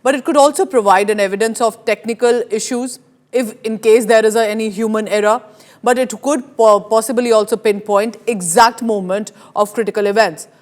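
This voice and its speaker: A woman news anchor with an Indian accent and a mid-pitched voice. She presents live news emphatically, setting out each point in an explanatory way. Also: steady tone, normal speed.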